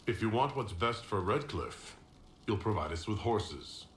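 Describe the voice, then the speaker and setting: An actor playing a composed mage hero in a video game, direct and questioning in manner. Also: male voice, lowish voice, lively intonation, unhurried. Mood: firm, questioning. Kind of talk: video game dialogue acting. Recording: roomy echo, noisy background.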